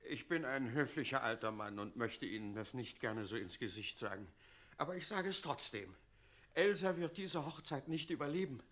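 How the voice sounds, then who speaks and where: A man's voice: medium pitch, animated, normal speed, a bit bright. An actor playing a polite, despairing old father in a German radio play, courteous but firm in his pleading. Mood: politely firm. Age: older.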